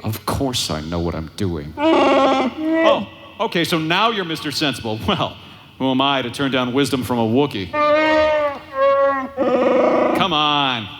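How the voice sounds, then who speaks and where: An actor in a live audio drama playing a cocky, sarcastic smuggler pilot who swaggers and wisecracks. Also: male voice, medium pitch, big pitch swings, measured pace, long pauses, background noise. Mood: wry, self-assured.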